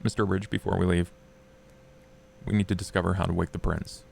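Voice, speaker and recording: A man's voice: sits low, level tone, brisk, creaky, slight background noise. A man playing a solemn, courteous vampire leader in a tabletop game, with grave, formal wording. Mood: grave, earnest.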